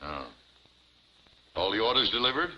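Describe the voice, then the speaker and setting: A man's voice: fairly low pitch, big pitch swings, moderate pace. A man playing a middle-aged small-town boss and husband in a 1950s television comedy. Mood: brisk. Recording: dull vintage 1950s TV soundtrack, faint noise.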